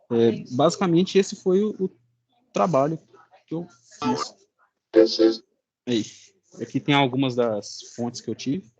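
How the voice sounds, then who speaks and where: A young man with a Brazilian Portuguese accent, giving an informal student presentation in a halting, colloquial way. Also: mid-range voice, darkish tone, muffled recording. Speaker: student presenting a final project.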